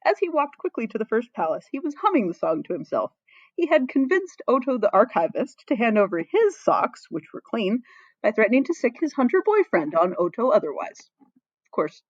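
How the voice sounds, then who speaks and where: A woman reads a story aloud with playful drama and very lively intonation. The recording is dry and a little dull, like a modest home setup. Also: mid-range voice, moderate pace, dry recording. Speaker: fan fiction reader. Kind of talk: expressive story narration with playful asides.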